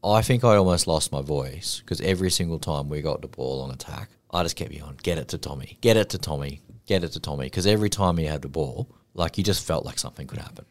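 Deep-voiced, earnest man. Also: quick pace, hardly any pauses. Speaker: podcast co-host. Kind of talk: casual sports podcast chat.

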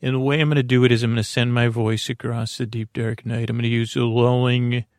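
A man hosting a bedtime podcast in a creaky, soothing voice, meandering hesitantly through absent-minded tangents. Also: mid-range voice, little pitch movement, fairly quick, no room sound, clean studio sound. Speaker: podcast host. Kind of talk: rambling bedtime sleep podcast monologue.

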